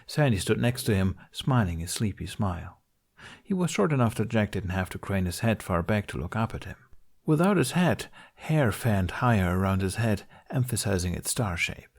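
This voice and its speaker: A man's voice: on the low side, lively intonation, normal speed, creaky. A man reading a fantasy story aloud as a scripted audiobook-style narration. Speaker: podfic narrator reading fan fiction.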